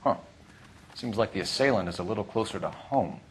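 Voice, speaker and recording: An American man playing a sharp, businesslike 1940s police detective in a video game, speaking in clipped, matter-of-fact remarks. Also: on the low side, brisk, slight background noise, close to an everyday voice. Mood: musing, inquisitive.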